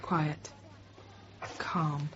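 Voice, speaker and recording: An actress in her thirties playing a frightened but composed French teacher held hostage, narrating quietly, often near a whisper. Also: sits low, little pitch movement, drawn-out words, full character voice. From France. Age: 30s. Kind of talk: first-person dramatic narration. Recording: dull noisy radio drama recording.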